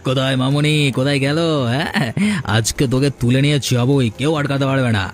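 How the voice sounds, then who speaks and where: A man's voice: medium pitch, fairly even intonation, brisk, faint music behind, natural voice. A man voicing a crude, envious, bossy gang boss in a village folk-tale cartoon. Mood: menacing, gloating.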